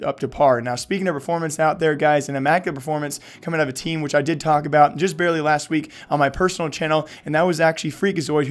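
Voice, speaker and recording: Hoarse, fast-talking esports news host. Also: male voice, on the high side. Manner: energetic, many fillers. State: losing his voice.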